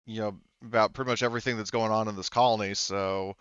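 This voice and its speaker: A man's voice: lowish voice, steady tone, fast, a bit bright, clear, resonant tone, no echo, muffled recording. A man running a tabletop roleplaying game, loosely narrating and relaying what characters say in an improvised way. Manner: loose, relays characters' speech in third person. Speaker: game master.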